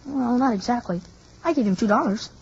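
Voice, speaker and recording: A man's voice: very high voice, a little fast, breathy edge, heavily acted. An actor playing an eager, earnest young boy in an old-time radio drama. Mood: sheepish. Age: child. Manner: eager, breathless, slangy. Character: excitable earnest young boy with big imagination. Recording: muffled vintage radio broadcast.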